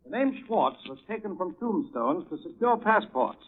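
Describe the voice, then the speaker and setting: An urgent newscaster in an old radio drama. His voice is high and punchy, with declamatory delivery. It sounds thin and narrow, like a vintage set. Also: sing-song, normal speed. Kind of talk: 1930s news bulletin acting.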